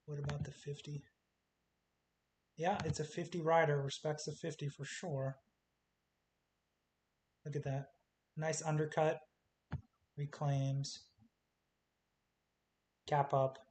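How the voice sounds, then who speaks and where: A man talking casually through stock charts in short, clipped calls, his voice going hoarse as he says he is losing it. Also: a little high, flat delivery, takes it slowly, long pauses, darkish tone. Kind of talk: casual screen-share chart commentary. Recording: faint hiss.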